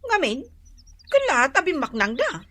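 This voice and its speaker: High-pitched, whiny, envious younger sister. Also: female voice, huge pitch swings, measured pace, fairly bright tone, a little hiss or hum, heavily acted. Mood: envious, scornful. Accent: Ilocano Filipino. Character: peevish, envious younger sister grumbling about neighbours. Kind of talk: Ilocano radio comedy drama acting. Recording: music behind the voice.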